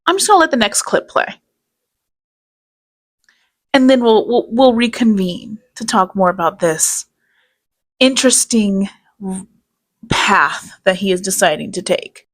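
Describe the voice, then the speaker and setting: A woman's voice: medium pitch, lively intonation, measured pace, long pauses. Sarcastic woman reacting to clips. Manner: sarcastic, scornful, sweary. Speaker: commentary host. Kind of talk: reaction commentary.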